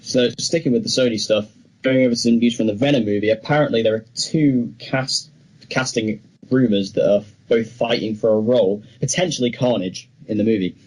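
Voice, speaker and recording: A man's voice: mid-range voice, a little fast, darkish tone, rough recording. A young man co-hosting a film podcast, talking casually and giving his opinions freely. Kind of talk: casual discussion of film news.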